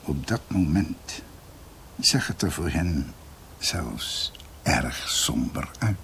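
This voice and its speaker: An actor playing a brooding doctor who recounts his family's history in a halting, reflective, emphatic way, as in a radio drama. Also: a bass voice, animated, vocal fry, a bit bright, slight background noise, exaggerated voice.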